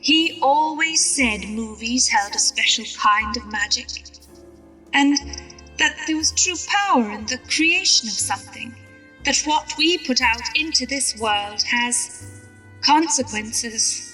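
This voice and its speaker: A woman's voice: a little high, expressive, slow, pushed and bright, exaggerated voice. A woman playing a grand, reverent actress making a formal stage introduction in a vintage radio drama. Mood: grand, wistful. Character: grand, reverent actress honoring late director. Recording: distant voice, music behind.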